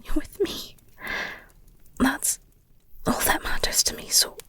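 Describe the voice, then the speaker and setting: Woman acting a whispering, tender werewolf girlfriend. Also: no echo. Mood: tender, soothing. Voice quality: breathy, whispery. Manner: hushed, halting, soothing. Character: protective, guilt-ridden female werewolf girlfriend, tenderly comforting. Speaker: audio roleplay performer. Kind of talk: intimate whispered audio roleplay.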